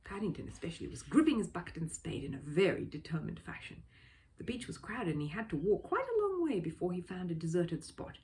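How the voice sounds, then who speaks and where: A woman reading a children's story aloud as an expressive narrator. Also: lowish voice, a little fast, slight background noise. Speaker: storybook reader.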